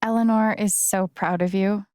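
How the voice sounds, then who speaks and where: A woman's voice: medium pitch, fairly even intonation, moderate pace, darkish tone, dry recording, studio quality, lightly acted. An actress playing an earnest, caring and determined young woman in naturalistic, conversational video game dialogue. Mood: warm, encouraging. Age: young adult.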